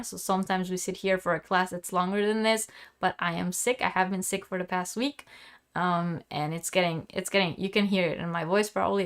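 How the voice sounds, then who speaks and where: Hoarse-sounding woman teaching crochet live. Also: on the low side. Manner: chatty, restarts often, answers viewers directly. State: sick, sore throat, losing her voice. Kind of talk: live-stream crochet lesson with chat questions.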